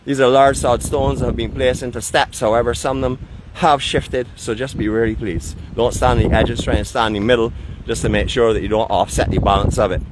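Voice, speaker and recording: A man's voice: medium pitch, steady tone, fairly quick. A man in his early fifties with a Barbadian accent, chatting casually and playfully as he hosts a live outdoor walking vlog. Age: early 50s. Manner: playful, teasing, tongue-in-cheek boasting. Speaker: live vlog host and tour guide. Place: outdoors on windy seaside cliffs. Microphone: handheld rig microphone with furry windscreen. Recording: loud gusting wind muffling and distorting voice.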